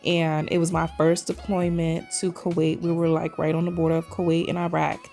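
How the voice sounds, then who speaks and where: A chatty woman in her thirties from Trinidad talks viewers through her work in a relaxed voice-over, her low voice rough with creak. Her throat still sounds a little scratchy after losing her voice. Soft music plays faintly behind her. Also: fairly quick. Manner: chatty, addresses viewers as "y'all" often. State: recovering voice, slight throat tickle. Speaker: nail vlogger.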